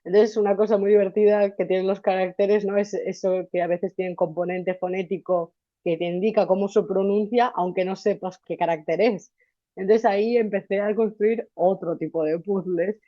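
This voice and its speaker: A woman's voice: mid-range voice, moderate pace, no echo, highs cut off. A young woman from Spain with a Valencian Spanish accent, chatting animatedly as an interview guest. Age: young adult. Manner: animated, chatty, restarts often. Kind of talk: casual interview conversation.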